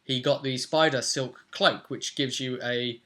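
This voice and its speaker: Young male game master, bright forceful tone, mid pitch, informal session recap. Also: steady tone, measured pace. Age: young adult. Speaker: game master and vidcast host. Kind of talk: casual recap of a tabletop roleplay session. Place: small room at home, indoors.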